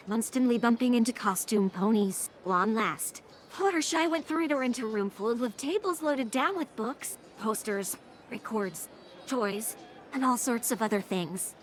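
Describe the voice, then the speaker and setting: Woman narrator, text-to-speech, higher pitch, scripted story reading, slight background noise. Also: a bit bright.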